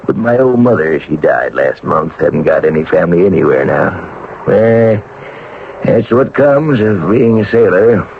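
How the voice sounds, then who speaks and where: A man's voice: low voice, sing-song, unhurried, gravelly, narrow, tinny audio, heavily acted. An actor playing a boastful, suspicious Egyptian sailor in a 1970s radio drama, with folksy, blustering working-man talk. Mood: wistful, loyal. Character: gruff, boastful, suspicious Egyptian sailor devoted to his queen. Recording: band-limited, noisy old radio recording.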